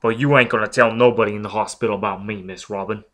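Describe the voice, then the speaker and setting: A hulking, menacing brute from a storybook, played by a male reader, loud and blunt. He mangles his grammar and mumbles threats, gruff and sullen. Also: on the low side, steady tone, quick pace. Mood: threatening. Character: hulking, uneducated, menacing young man.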